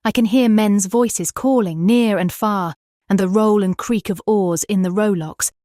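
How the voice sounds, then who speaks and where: Actress doing gentle young lady in a trance, slow n dreamy, gothic audiobook drama, really dry close sound. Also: medium pitch, no room sound, lightly acted. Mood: entranced, eerie. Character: gentle young married woman in hypnotic trance.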